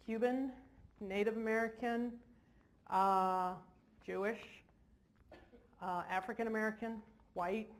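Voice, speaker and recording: A woman's voice: mid-range voice, a little hiss or hum. A woman clinician giving an informal lecture in a large hall, with jokey, self-deprecating asides. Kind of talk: informal lecture to a live audience.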